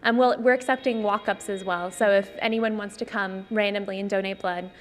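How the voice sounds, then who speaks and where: A woman's voice: medium pitch, steady tone, fairly quick. A woman giving casual interview answers on location as a representative of a community organization. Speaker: theater representative being interviewed. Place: on location inside a community theater. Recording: faint music behind voice.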